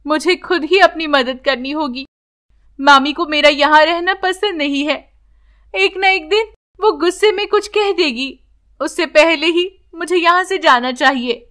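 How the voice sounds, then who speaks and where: A woman's voice: high voice, a little slow, fairly bright tone, voice pushed up. A woman voicing an innocent, sorrowful young orphan village girl in a children's story, plaintive and earnest. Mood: resolved, worried. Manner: plaintive, pleading. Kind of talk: children's animated story dialogue.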